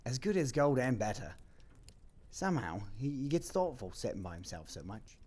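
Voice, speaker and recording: A man's voice: medium pitch, very animated, moderate pace, a little mellow, breathy edge. A man voicing a kindly, doting poor clerk and father in a Victorian audio play, tender and given to exclaiming.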